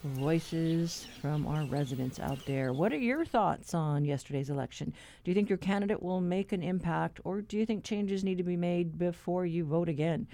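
A woman's voice: lowish voice, moderate pace, few pauses, mellow, a little hiss or hum. A woman hosting a public radio program in a conversational manner on a studio microphone. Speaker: radio host. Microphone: close studio microphone.

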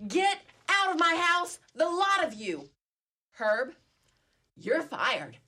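A woman playing a haughty, sharp-tongued rich dowager in a comedy whodunit radio play, imperious and indignant. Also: extremely high pitch, huge pitch swings, slow pace, full character voice. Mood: furious, imperious. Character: haughty, sharp-tongued wealthy dowager mother with a guilty secret.

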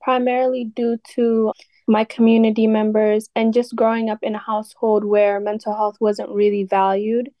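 A teenage girl, a high school student from Ethiopia, answers interview questions in an earnest way, leaning on casual fillers. Her voice sits on the higher side and is recorded dry and close. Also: dark tone, no room sound. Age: teens. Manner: earnest, frequent fillers like "like" and "you know".

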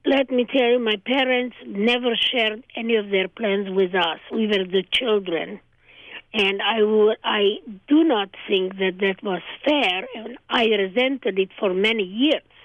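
An older woman from Transylvania, a native Hungarian speaker, recounting her childhood in an interview in measured, short phrases. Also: mid-range voice, no room sound. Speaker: guest. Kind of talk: oral history interview. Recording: dry tinny phone line.

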